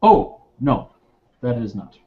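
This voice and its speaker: A man's voice: mid-range voice, big pitch swings, slow pace, phone-quality sound. Terse, guarded man, acted by a player. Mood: guarded, calm. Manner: terse, evasive. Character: terse, guarded male restaurant guard with a sword.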